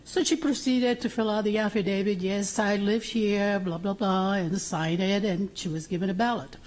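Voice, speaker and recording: A woman's voice: mid-range voice, steady tone, moderate pace, a little mellow, low-quality recording. A woman from Puerto Rico giving informal testimony at a public hearing, telling it through anecdotes and quoted remarks. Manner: anecdotal, acts out remembered remarks. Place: city council chamber hearing.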